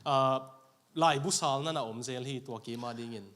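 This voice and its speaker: A man's voice: mid-range voice, normal speed, a bit bright. A man interpreting a sermon phrase by phrase in a church, repeating each line right after the preacher. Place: church sanctuary.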